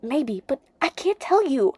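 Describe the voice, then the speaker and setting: A woman's voice: high voice, sing-song, unhurried, mellow, no room sound, voice pushed up. A woman voicing an evasive, gossip-loving teenage girl, exclamatory and hedging, as audiobook character dialogue. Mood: apologetic, secretive.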